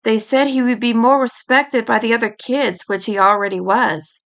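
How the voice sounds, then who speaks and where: A woman's voice: fairly high pitch, steady tone, normal speed, a bit bright. A woman reading scripted fan fiction as an audiobook narrator. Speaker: audiobook reader.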